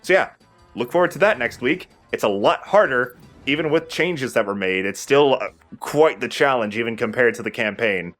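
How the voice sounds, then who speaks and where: A man's voice: mid-range voice, expressive, normal speed, a bit bright, faint music behind. A man giving casual, rambling and opinionated video game commentary as the host of a let's play.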